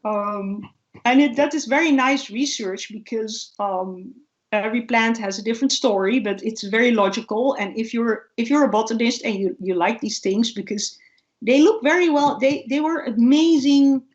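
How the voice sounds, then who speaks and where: High-voiced woman on a video call. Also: moderate pace, highs cut off. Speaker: guest ethnobotanist. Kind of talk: informal research interview, storytelling.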